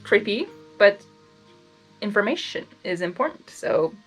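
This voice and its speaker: A woman reading fan fiction aloud as host, narrating and breaking into casual, expressive reactions. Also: mid-range voice, unhurried, faint music behind, low-quality recording. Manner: expressive, animated asides.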